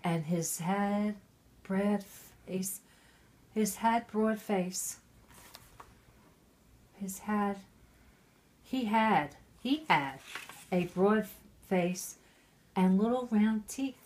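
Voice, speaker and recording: A middle-aged woman who is drunk, reading aloud haltingly with chatty asides, stumbling over and repeating words. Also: medium pitch, darkish tone. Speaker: mother reading a Christmas poem aloud.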